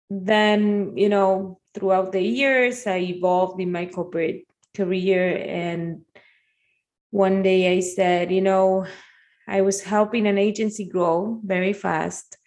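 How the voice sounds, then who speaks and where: A middle-aged woman speaks as a podcast guest. Her mid-pitched voice has a noticeable creak, and she talks conversationally, as if telling her own story. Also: a little mellow. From Argentina. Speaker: podcast guest, marketing agency owner.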